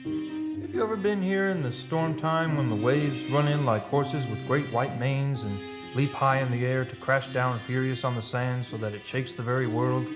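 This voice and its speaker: A man's voice: mid-range voice, natural voice. Grave, brooding man acted by a man over music. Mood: brooding, wondering. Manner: grave, poetic, deliberate phrasing. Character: brooding, superstitious painter from an old seafaring people. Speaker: actor. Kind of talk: radio drama dialogue, lyrical.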